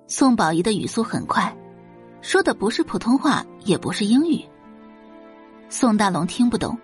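A woman's voice: medium pitch, darkish tone. A woman narrating a scripted dramatic novel as an audiobook reader, with a faint music bed behind her.

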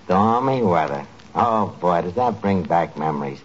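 An actor playing a boastful, slangy tavern manager with a New York accent in a 1940s radio comedy. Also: male voice, deep voice, expressive, normal speed. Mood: breezy. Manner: slangy, mangles his words. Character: boastful, bluffing wisecracking male tavern manager. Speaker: comic lead of a radio sitcom. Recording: muffled, noisy 1940s radio recording.

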